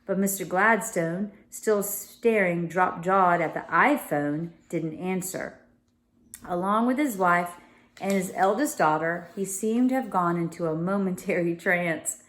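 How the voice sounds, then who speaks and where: A woman's voice: on the low side, measured pace. A woman reading a children's novel aloud as a storyteller, with casual explanatory asides.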